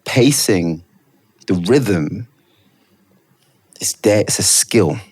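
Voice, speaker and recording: Deep-voiced man hosting, enthusiastic. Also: animated, extremely slow, a little mellow, breathy edge, dry recording. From Britain. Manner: enthusiastic, rambling, lots of fillers. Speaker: podcast host. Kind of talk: casual interview chat.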